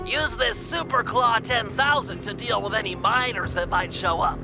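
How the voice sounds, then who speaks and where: A man's voice: sits high, moderate pace. A man voicing a brainy, nerdy cartoon turtle from a video game, giving precise, wordy and eager instructions. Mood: brisk briefing. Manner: precise, verbose, eager briefing. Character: nerdy, brainy, high-voiced cartoon turtle, the gang's planner. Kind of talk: animated video game acting. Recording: music behind the voice.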